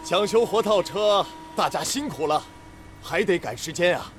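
A man's voice: high voice, animated, normal speed. A man acting an anxious, fatherly factory director in a Chinese radio drama, exhorting his workers under pressure. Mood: pressing. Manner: emphatic, exhorting. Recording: noisy factory ambience behind voice.

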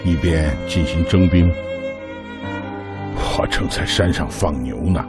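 An aged Red Army veteran reminisces in a radio play. His voice is very low and slow, fond as he looks back on his youth, with loud strings playing underneath. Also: loud music behind the voice. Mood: nostalgic. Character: ninety-six-year-old Red Army veteran fondly recalling his youth.

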